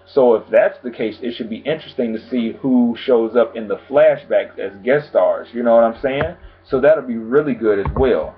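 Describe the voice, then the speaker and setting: A man vlogging about TV news in a casual, chatty way, calm and low-key, with lots of filler phrases. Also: moderate pace, hardly any pauses, slightly rough. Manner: chatty, frequent "pretty much" and "you know what I'm saying". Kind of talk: casual chat to camera. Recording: tinny phone-like sound.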